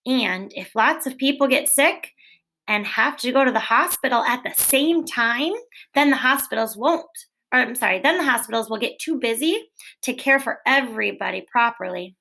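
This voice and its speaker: A woman teacher reading a children's picture book aloud, gently and expressively, now and then correcting herself. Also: fairly high pitch, animated, moderate pace, creaky, low-quality recording.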